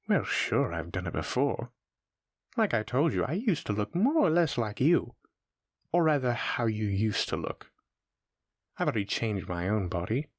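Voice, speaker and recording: Casting: male actor for a sadistic, playful monster in horror audio, lowish voice, close dry sound, taunting and musing with slow menace. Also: no room sound, heavily acted. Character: sadistic playful male shape-shifting monster, sinuous and many-legged. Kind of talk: horror audio roleplay addressed to the listener.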